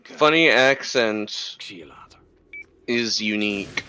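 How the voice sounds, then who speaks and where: Bright-voiced chatty man. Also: lowish voice, extremely slow, smooth, no echo, low-quality recording. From United States. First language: English. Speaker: guest chatting with the streamer. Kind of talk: casual chat.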